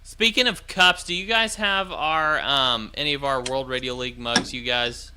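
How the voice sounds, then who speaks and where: A man's voice: mid-range voice, noisy background. A man chatting casually on a ham radio livestream as its host, in an agreeable, jokey manner. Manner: agreeable, jokey, quick to chuckle. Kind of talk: casual livestream chat about amateur radio.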